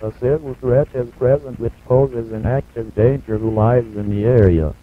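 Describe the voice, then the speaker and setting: A man's voice playing an impersonal automated emergency announcer, reading warnings in a flat, formal, measured way. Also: mid-range voice, natural voice. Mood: impersonal, grave. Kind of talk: scripted emergency broadcast warning. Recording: muffled lo-fi VHS broadcast audio.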